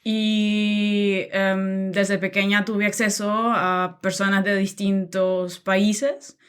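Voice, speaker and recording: A woman's voice: mid-range voice, drawn-out words, clear, resonant tone. A young woman from Nicaragua, a podcast guest chatting casually about her life, with many filler sounds. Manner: relaxed, many "am" and "em" fillers, laughs easily. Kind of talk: casual interview chat.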